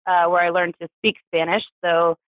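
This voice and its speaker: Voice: mid-range voice, monotone, normal speed, smooth, no room sound. An American in their thirties from New Mexico, telling personal stories as an interview guest in a candid, hesitant way. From New Mexico, United States. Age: 30s. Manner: hesitant, chuckles through hard subjects. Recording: tinny phone-like radio sound.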